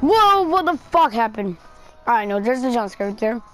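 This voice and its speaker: Woman gamer, higher voice, casual let's play delivery; brash, sweary, exasperated, faint music behind. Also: sing-song, moderate pace, a bit bright, poor audio. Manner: brash, foul-mouthed, exasperated. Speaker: gamer commentating on own play.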